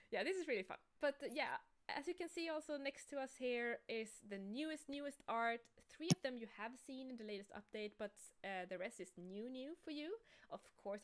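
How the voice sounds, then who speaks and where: Livestream host: woman, higher pitch, enthusiastic, informal chat. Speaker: game creator and artist hosting a livestream.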